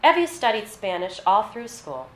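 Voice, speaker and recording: A woman reading literary fiction aloud at a live event, with a measured, narrative delivery. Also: medium pitch, very animated. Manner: measured, scripted narration with read-out dialogue. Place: live reading in an echoey venue. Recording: roomy echo, faint background noise.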